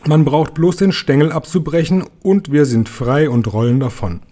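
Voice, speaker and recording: A man voicing a gentle, good-natured female ladybird in a children's story, with a kindly, eager manner. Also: on the high side, normal speed, close to an everyday voice.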